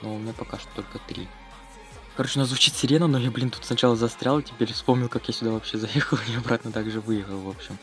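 A man's voice: medium pitch, fairly even intonation, quick pace, fairly bright tone, faint music behind. A man giving casual, slangy running commentary on his gameplay for viewers, as host of a gaming video.

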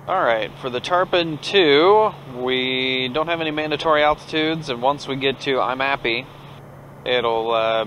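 A man's voice: mid-range voice, a little hiss or hum, low-quality recording. A man with an American accent, a pilot talking casually and making radio calls through a headset while flying. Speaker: pilot narrating a flight. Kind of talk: casual cockpit vlog mixed with clipped radio calls. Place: cockpit of a small turboprop plane in flight. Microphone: aviation headset. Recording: steady aircraft drone behind headset audio.

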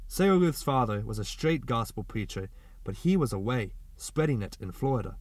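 A man reads a story aloud in a mid-pitched voice. The narration is scripted, in audiobook style. The sound is dry, with hardly any room in it. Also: animated, moderate pace, darkish tone, no room sound.

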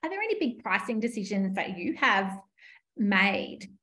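A woman's voice: mid-range voice, big pitch swings, moderate pace, sharp tone. A woman in her forties hosting an interview over a video call from her living room, affirming and asking questions. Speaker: podcast host, coach. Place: home living room with shelves. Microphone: video call webcam.

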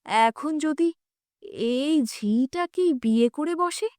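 A proud, middle-aged estranged wife, played by a woman reading a story, pressing her demands. The voice is fairly high and dry, with insistent words cut out deliberately. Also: expressive, darkish tone, dry recording. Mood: anxious scheming. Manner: insistent, cutting, deliberate articulation.

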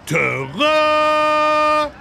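A man voicing a big, good-natured, childlike talking elephant in a children's game, gravelly and exuberant. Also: very high-pitched, very animated, extremely slow, sharp tone, a faint crowd, slight background noise, heavily acted. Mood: excited, eager. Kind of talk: children's educational game acting.

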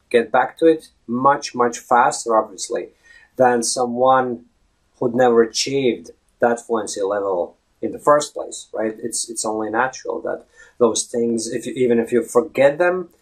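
A man's voice: medium pitch, rough recording. A man in his thirties with a Latvian accent, a native Latvian speaker giving casual advice on a video blog, chatty and often restarting words, recorded by a webcam in a small indoor room. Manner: chatty, self-correcting, stretches words for emphasis. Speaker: English fluency blogger. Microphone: webcam at head-and-shoulders framing.

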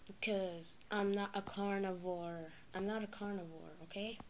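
A woman's voice: medium pitch, steady tone, normal speed, a little mellow, slight background noise, natural voice. Woman voicing a huffy plush dinosaur king. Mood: defensive. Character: boastful male dinosaur king, plush toy. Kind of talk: toy play-acting. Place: carpeted room indoors. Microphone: phone camera near the floor.

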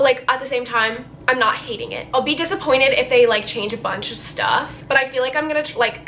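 A young woman with a bright, forceful voice chats casually and expressively, as if to friends. She sits a little above the usual pitch, and there is clear background noise in her bedroom recording. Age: 20s. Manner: expressive, informal, filler-heavy "like". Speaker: book vlogger. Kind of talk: casual chat reaction vlog. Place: small bedroom, indoors.

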